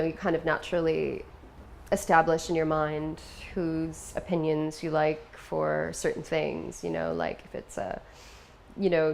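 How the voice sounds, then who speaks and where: A woman art student talks about her studio course in a loose, conversational way, with a low and gravelly voice. Faint background sound sits behind her. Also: a little mellow, a little hiss or hum. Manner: conversational, leans on filler phrases. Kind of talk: documentary interview.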